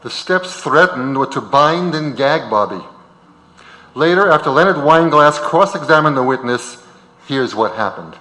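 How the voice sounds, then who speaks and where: Male stage narrator, slightly high forceful voice, reverberant hall. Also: lively intonation, measured pace. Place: large auditorium. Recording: reverberant auditorium.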